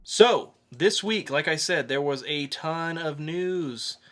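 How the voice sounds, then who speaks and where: A man co-hosting a podcast, talking casually through entertainment news in a halting, conversational way with pauses. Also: fairly high pitch, expressive, loud music behind the voice. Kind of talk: casual geek news chat.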